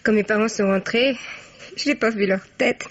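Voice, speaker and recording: An actress playing a frank, cheeky young student daughter in a 1950s French radio mystery. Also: female voice, mid-range voice, steady tone, moderate pace, natural voice. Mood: wry confession. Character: brash, wry young student daughter, freshly jilted. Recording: dull vintage radio recording.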